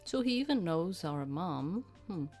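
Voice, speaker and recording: Lower-voiced woman playing and narrating a game. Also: sing-song, unhurried, darkish tone, soft background music. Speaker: let's play host. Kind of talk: reading game narration aloud with casual commentary.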